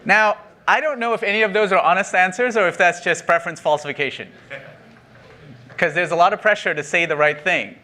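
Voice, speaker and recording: A man's voice: high voice, fairly quick, sharp tone. A middle-aged man from Sri Lanka giving a keynote talk, chatty and self-deprecating, laughing at his own jokes with many ums. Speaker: conference speaker. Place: conference stage.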